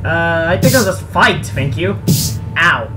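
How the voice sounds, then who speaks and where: Casual let's play commentator: unhurried delivery, sarcastic and sweary, over clearly audible game music. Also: mid-range voice, lively intonation. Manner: sarcastic, foul-mouthed grumbling. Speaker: let's player.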